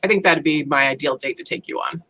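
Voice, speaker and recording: A woman pitching casually as a game contestant, with a somewhat halting, hesitant delivery. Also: pushed and bright, breathy edge, rough recording.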